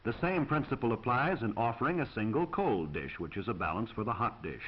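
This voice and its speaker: A man's voice: mid-range voice, very animated, brisk, husky, muffled recording. An American man reading scripted 1940s instructional film narration in a formal, precise, instructive manner on an old film soundtrack.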